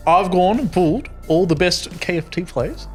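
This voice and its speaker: A man voicing a smug, taunting rival restaurant manager in a tabletop game, with an Australian accent and halting corporate excuses. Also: sits high, darkish tone, soft background music, close to an everyday voice. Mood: smug, evasive. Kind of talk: improvised tabletop roleplay.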